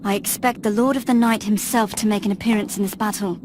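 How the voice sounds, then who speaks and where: An actress playing a noble, righteous princess in a game cutscene, earnest, formal and dramatic. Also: female voice, medium pitch, steady tone, fast talker, close to an everyday voice. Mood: solemn, pleading. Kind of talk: video game cutscene acting. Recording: background noise and ambience.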